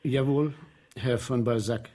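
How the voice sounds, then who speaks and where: An actor in a German radio play voicing a curt, insistent man with a husky voice and terse, emphatic delivery. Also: mid-range voice, fairly even intonation, takes it slowly, dark tone. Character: curt, insistent man named Brissot answering a writer.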